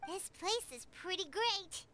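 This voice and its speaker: A woman's voice: extremely high pitch, unhurried, pushed and bright, slight background noise, exaggerated voice. A woman voicing a tiny, childlike, cheerful and chatty fairy companion in a video game, given to exclaiming. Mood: chatty, surprised. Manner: refers to self in third person, exclaiming. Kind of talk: video game character acting.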